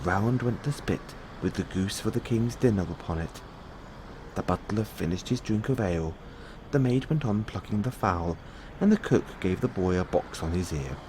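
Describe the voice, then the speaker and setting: Low-voiced man reading a bedtime tale. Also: expressive, normal speed. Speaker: storyteller playing a boyfriend in audio roleplay. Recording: clearly audible background noise.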